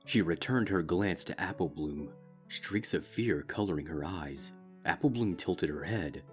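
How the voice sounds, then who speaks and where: A man reading a dark fanfiction story aloud in a slow, grave, steady narrating style. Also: deep voice, faint music behind. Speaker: fanfiction reader.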